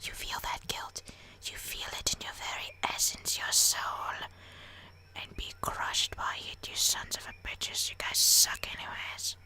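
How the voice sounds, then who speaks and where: A woman voicing a bitter, sarcastic heckler who mutters sweary, mock-menacing curses in a breathy whisper. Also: a little slow, sharp tone. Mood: mock menace.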